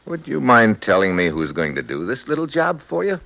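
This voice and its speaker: A man's voice: on the low side, big pitch swings, brisk, gravelly, fairly bright tone, dry recording, lo-fi sound, exaggerated voice. An American man playing a tough, wisecracking secret agent in a hard-boiled 1950s radio drama style, with a wry, clipped delivery. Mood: wary, wry. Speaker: lead actor and narrator. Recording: vintage radio recording with music.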